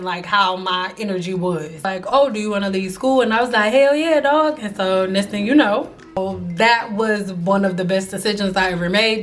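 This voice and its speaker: A woman in her early thirties telling life stories casually to camera, animated and slangy, acting out the people she quotes. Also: medium pitch. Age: 30s. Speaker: hairstylist vlogger.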